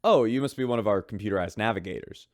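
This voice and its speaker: An actor playing a chatty, gossipy radio show host on a spaceship in an audio drama. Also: male voice, fairly low pitch, quick pace, no room sound, studio quality, lightly acted. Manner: chatty, gossipy announcer delivery. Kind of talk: radio drama acting as a broadcaster.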